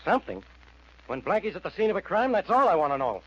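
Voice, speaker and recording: Blustering police inspector, dull recording. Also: male voice, high voice, big pitch swings, fast talker, a bit bright. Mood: dismayed. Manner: gruff, impatient, emphatic. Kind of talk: 1940s radio acting. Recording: dull old radio recording.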